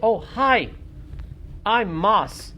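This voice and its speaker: A man's voice: high voice, very animated, very slow, sharp tone, a little hiss or hum, pitched above the performer's own voice, exaggerated voice. A man voicing a naive, friendly little talking frog with blunt, childlike remarks in a tabletop game.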